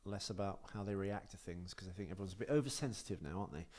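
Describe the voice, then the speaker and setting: A man's voice: deep voice, rapid-fire, darkish tone. A man, chatting casually as a comedian co-hosting a podcast. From England. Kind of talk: casual bantering podcast chat. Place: small indoor garage-style podcast studio. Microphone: close microphone on boom arm.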